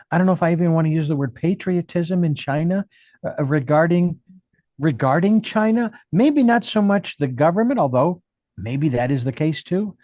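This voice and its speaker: Man, interview host, higher pitch, rambling questions, dry close sound. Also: a little mellow, dry recording. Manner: rambling, musing questions with restarts. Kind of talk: conversational interview.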